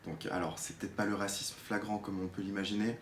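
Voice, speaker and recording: Low-voiced young man, echoey room. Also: flat delivery, fast. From Geneva, Switzerland. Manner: hesitant, searching, repeats words while explaining. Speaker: drama student giving a testimony. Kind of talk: unscripted personal testimony. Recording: echoey room with faint noise.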